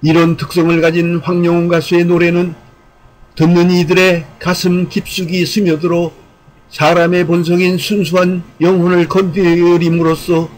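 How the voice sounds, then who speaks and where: Guy w a slightly high voice reading out a formal script, real earnest, kinda exhorting the listeners. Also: rough recording. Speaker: online channel host and commentator. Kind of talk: scripted commentary, read aloud in a formal essay style.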